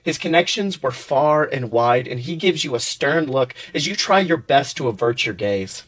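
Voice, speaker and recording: A man's voice: medium pitch, expressive, moderate pace, a bit bright. A man narrating a second-person story dramatically, as a podcast host reading fan fiction.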